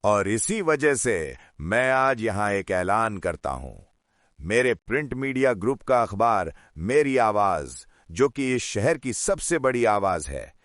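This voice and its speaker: Man acting a slow, proud, declamatory businessman father. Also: fairly low pitch, big pitch swings, fairly bright tone, no room sound, studio quality, full character voice. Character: proud, tipsy, big-hearted businessman father-in-law. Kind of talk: animated story drama acting, speechmaking.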